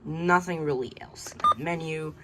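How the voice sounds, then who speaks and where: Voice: mid-range voice, fairly even intonation, a little slow. A hobbyist giving a casual, unscripted tour of a collection, rambling and hesitant, often trailing off, recorded at home. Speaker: radio collector presenting a collection. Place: indoors at home, by shelves. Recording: noisy amateur home recording.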